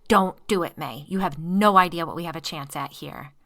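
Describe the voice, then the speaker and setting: A woman reading a controlling, manipulative husband in an audiobook, calm and coaxing, turning to snarls. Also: on the low side, moderate pace, dry recording, clean studio sound. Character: controlling, manipulative husband, steady-voiced man.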